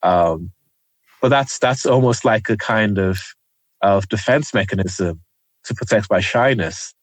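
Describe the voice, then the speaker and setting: A young man from Nigeria speaks in a somewhat low voice, thoughtful and halting, filling pauses with ums. The recording is dry and close, with no room sound. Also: dry recording. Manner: hesitant, many ums, soft-spoken. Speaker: interview guest, journalist. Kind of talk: reflective conversational interview.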